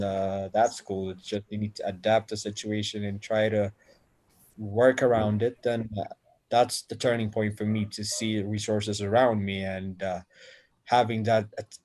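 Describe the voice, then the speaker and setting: A man's voice: on the low side, a little mellow. A man from Ethiopia, an engineer, answering interview questions with many fillers and restarts. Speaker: engineer and innovation center founder.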